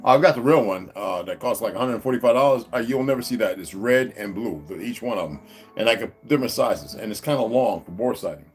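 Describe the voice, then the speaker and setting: A man's voice: animated, fast talker, soft background music. A man chatting casually to his viewers at home, wandering from topic to topic with many restarts. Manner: meandering, restarts, talks to his dogs in a high coaxing voice. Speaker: vlogger. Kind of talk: rambling casual vlog to viewers. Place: indoors at home.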